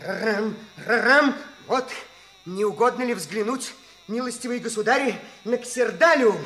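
An actor playing an eccentric, excitable inventor in a theatrical, muttering manner in a 1960s Soviet radio play. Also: male voice, very high-pitched, measured pace, heavily acted. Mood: gleeful, self-absorbed. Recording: old 1960s radio recording, faint music.

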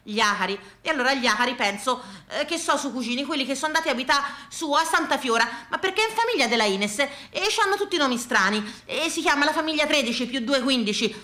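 A woman with a Tuscan accent performing a theatrical monologue, colloquial, with dramatic pauses and repetitions. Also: high voice, animated, sharp tone. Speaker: stage performer, storyteller. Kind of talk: theatrical comic-poignant monologue. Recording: echoey room sound.

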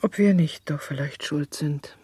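Soft, mellow, anxious mother. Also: low voice, unhurried, slightly rough, dry recording, exaggerated voice. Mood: troubled, doubting. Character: grieving, anxious mother, middle-aged, gentle and searching. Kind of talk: German radio drama acting.

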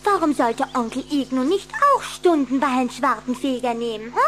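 A plucky high-voiced vampire girl. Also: lively intonation, normal speed, fairly bright tone, a little hiss or hum. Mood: teasing. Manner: pert, coquettish, defiant. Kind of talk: German radio play acting.

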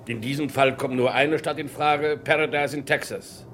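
An actor playing a polite, businesslike airport director in a vintage radio crime drama. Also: male voice, medium pitch, steady tone, moderate pace, fairly bright tone, slight background noise, natural voice. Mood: obliging. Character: obliging middle-aged male airport director.

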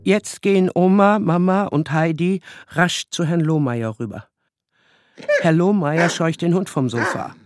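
A woman acting as the wry, deliberate storyteller of a childhood tale in a German radio play. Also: fairly low pitch, a little mellow, slight background noise, natural voice. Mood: storytelling. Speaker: narrator. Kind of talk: scripted dramatic narration in German radio play.

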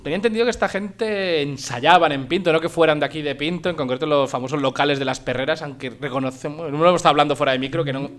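A man's voice: on the high side, rapid-fire, few pauses, fairly bright tone. Chatty male radio host from Spain. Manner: informal, digressive. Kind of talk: casual chat, presenting music.

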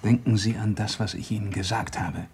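A man's voice: lowish voice, fairly even intonation, a little fast, darkish tone, slightly rough. An actor playing a shrewd, unruffled senior police inspector in a radio play, methodical and commanding in his questioning. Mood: dry, commanding. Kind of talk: German radio play acting.

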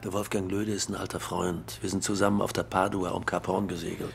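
Chummy, gravel-voiced boastful engineer. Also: male voice, low voice, little pitch movement, brisk, slight background noise. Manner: enthusiastic, rambling, repeats himself. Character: boastful, chummy, money-happy German engineer abroad. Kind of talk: naturalistic radio drama acting.

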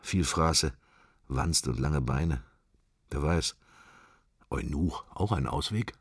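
Wry middle aged man thinking to himself, low murmur, dry close sound, radio play, bits of sentences. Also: takes it slowly, slightly rough, no room sound, heavily acted. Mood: reminiscing, wry. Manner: murmured, fragmentary, associative musing. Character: musing middle-aged man, wry, sceptical, worldly, silently observing church. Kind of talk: radio drama interior monologue.

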